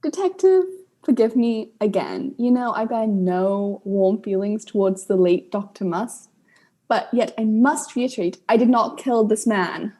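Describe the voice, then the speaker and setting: A woman's voice: mid-range voice, a little slow, dark tone, close to an everyday voice. Actress as a stiff, formal alien tree woman. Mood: formal, aggrieved. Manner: formal, wordy, haughty. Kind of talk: comic audio drama acting.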